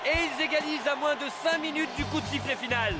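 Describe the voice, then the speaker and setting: An actor playing an excitable male football match commentator in a cartoon. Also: extremely high pitch, fast, over loud music. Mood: excited.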